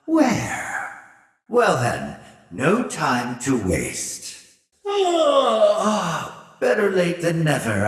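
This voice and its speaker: Game villain: sinister older scientist, slow, mockingly polite delivery with extreme melodic swings, gleefully superior, echoey and off-mic. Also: male voice, medium pitch. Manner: mocking, theatrically polite, drawn-out phrasing. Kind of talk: theatrical video game villain acting. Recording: roomy echo, distant from mic.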